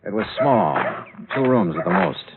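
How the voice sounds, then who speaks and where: An American man playing a tough, terse, deadpan insurance investigator in golden age radio detective drama. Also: sits low, normal speed, heavy background noise. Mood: terse narrating. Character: tough, clipped, hard-boiled male insurance investigator. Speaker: radio drama lead actor. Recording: muffled narrow-band old radio recording.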